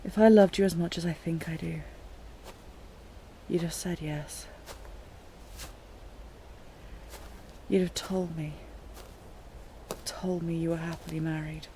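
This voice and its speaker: A woman playing a wounded, delirious captive queen in an intimate audio roleplay, breathy and often whispering, halting and lovelorn as if half-dreaming. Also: low voice, fairly even intonation, warm tone, slight background noise, rough recording. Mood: delirious longing.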